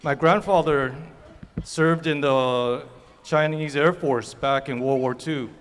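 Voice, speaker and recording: A man from Taiwan giving an earnest, somewhat halting speech at a forum. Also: on the high side, fairly bright tone, clear, resonant tone. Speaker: guest speaker. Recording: reverberant hall.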